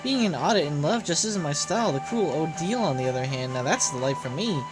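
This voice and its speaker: Woman voicing a haughty anime villainess over loud music. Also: sits low, expressive, brisk. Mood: smug, cool. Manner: cool and sardonic, flaring into indignation. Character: haughty, sarcastic young female villain, obsessive swimsuit performer. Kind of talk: voiced reading of anime game dialogue. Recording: loud game music behind voice.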